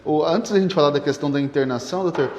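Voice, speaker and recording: A man's voice: on the high side, fast, a little mellow, slight background noise. A Brazilian man hosting an interview, asking questions and affirming often. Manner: attentive, frequent affirming "uhum". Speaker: interviewer, host. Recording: echoey room with long reverb.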